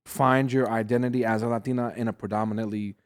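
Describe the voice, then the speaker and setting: A man hosting a podcast interview, asking a long question in a relaxed, slangy way. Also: on the low side, fast talker. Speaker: host.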